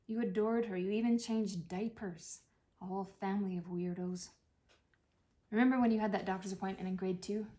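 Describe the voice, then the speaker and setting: A woman reading a play as a dying older mother, wry, tender and apologetic, with a gentle, reflective way of talking. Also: medium pitch, steady tone, a little fast, natural voice. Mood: fond, teasing.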